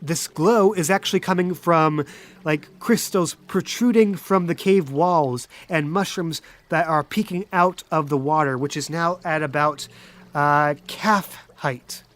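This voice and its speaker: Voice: medium pitch, unhurried. A game master narrating a tabletop role-playing game with descriptive, folksy phrasing.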